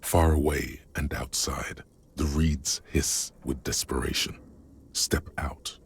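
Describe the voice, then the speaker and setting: A man acting as a brooding inner-voice narrator in a game, grave and intimate, with a husky, solemn delivery. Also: rumbling low, steady tone, takes it slowly, a little hiss or hum, exaggerated voice. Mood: melancholy, hushed. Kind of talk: scripted dramatic game narration. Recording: music under the voice.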